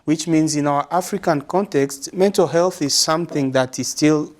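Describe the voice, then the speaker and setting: A man from Congo, answering interview questions as a religious brother and psychologist, speaking in a measured, thoughtful way with many pauses and fillers. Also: on the high side.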